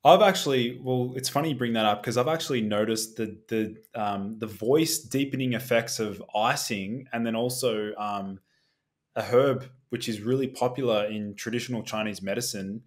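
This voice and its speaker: A man with an Australian accent, a podcast guest, talking in a relaxed and jokey way with fillers. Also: mid-range voice, moderate pace. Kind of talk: casual interview chat.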